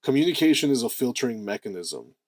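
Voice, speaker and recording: A man with a deep voice giving a lively motivational talk, stressing key words and slowing down to draw out phrases for effect. The recording is dry and close, with no room sound around him. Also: dry recording. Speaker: self-improvement channel host.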